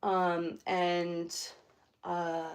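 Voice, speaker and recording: A woman's voice: lowish voice, monotone, extremely slow, clear, resonant tone. A woman casually chatting on a live stream from her home, rambling with many fillers. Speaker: livestream host. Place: home room.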